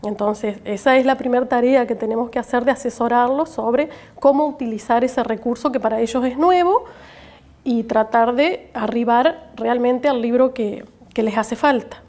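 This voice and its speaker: A woman's voice: on the high side, normal speed, darkish tone. A woman with an Argentine accent, explaining her library work in an interview. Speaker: library staff interviewee, teacher.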